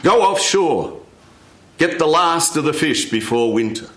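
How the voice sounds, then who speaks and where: A man's voice: fairly high pitch, expressive, unhurried, fairly bright tone, reverberant, pitched above the performer's own voice. A man voicing a confident, plain-spoken fisherman in a folk tale. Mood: resolute. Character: lonely, confident fisherman unafraid of the sea.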